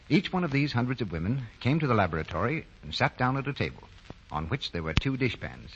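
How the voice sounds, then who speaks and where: Low-voiced 1940s American radio announcer, swooping delivery. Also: male voice, muffled recording. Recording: noisy, band-limited 1940 radio broadcast.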